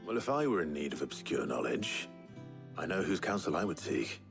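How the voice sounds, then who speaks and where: A stoic hero in a fantasy game, dependable and dryly obliging. His voice is very low, with terse, measured phrasing. Music plays clearly behind him. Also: very animated, exaggerated voice. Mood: dry, weary. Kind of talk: video game voice acting.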